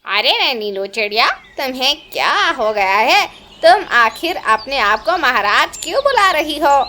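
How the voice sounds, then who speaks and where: A woman's voice: high-pitched, sing-song, moderate pace, pushed and bright, slight background noise, exaggerated voice. A woman voicing an exclamatory male bird who addresses his flock in a children's cartoon, declaiming as he goes. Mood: alarmed, puzzled. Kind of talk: Hindi children's cartoon dubbing.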